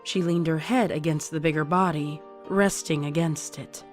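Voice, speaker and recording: A woman's voice: low-pitched, fairly even intonation, normal speed. A woman reading scripted audiobook narration as the storyteller. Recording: music playing behind the voice. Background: soft music.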